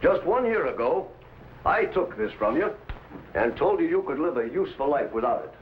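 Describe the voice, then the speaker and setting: An actor playing an earnest, proud landowner and boss in an old adventure drama, speaking with emphatic authority. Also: male voice, on the high side, big pitch swings, moderate pace. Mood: fatherly, firm. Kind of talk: vintage adventure drama acting. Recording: dull, noisy vintage drama soundtrack.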